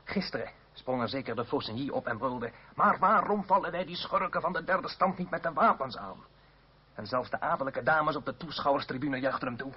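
A man's voice: mid-range voice, animated, a little fast, husky. An actor playing an earnest male politician in a radio drama, explaining and placating, at times hesitant. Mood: indignant. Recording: dull noisy old radio recording.

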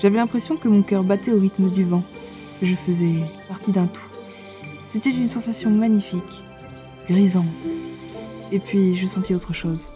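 A woman playing a novice ranger who tells her own adventure in a fantasy audio drama, measured and a little wry. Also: mid-range voice, steady tone, over music, close to an everyday voice. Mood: awed, soft. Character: young female ranger, novice gamer, wry and curious narrator. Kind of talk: first-person audio drama narration.

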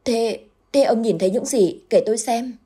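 A woman's voice: medium pitch, fairly quick, mellow, husky, pitched above the performer's own voice, lightly acted. A woman voicing a tearful, frightened middle-aged village wife who pleads and stammers.

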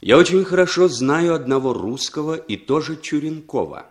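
An actor playing a scheming foreign man who talks smoothly and insinuatingly in a 1960s radio drama. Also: a little high, lively intonation, moderate pace, close to an everyday voice.

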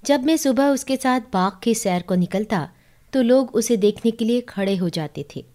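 Mellow-voiced woman narrating a story. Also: fairly high pitch, animated, moderate pace. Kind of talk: literary audiobook storytelling in first person.

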